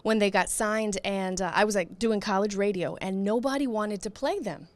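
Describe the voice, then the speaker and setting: A woman's voice: medium pitch, normal speed, no echo. A woman hosting a radio interview in a studio, upbeat and encouraging, with frequent short affirmations. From Puerto Rico. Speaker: radio host.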